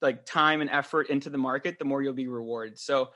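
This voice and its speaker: A young man, raised speaking French, rattles through his thoughts at a brisk clip. He rambles, doubles back on himself and leans on fillers, his voice sitting at a middling pitch. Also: steady tone, bright, forceful tone. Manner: fast, rambling, frequent fillers and restarts. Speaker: podcast co-host. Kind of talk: casual podcast chat.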